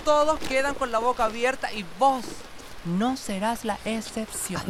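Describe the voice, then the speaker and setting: An actress playing a pushy, gossipy neighbour who sells door to door, effusive and theatrical, in a radio drama. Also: female voice, fairly high pitch, natural voice. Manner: effusive, gossipy saleswoman patter with drawn-out words. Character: chatty, pushy, theatrical neighbour woman selling plastic containers. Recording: noisy recording with clicks.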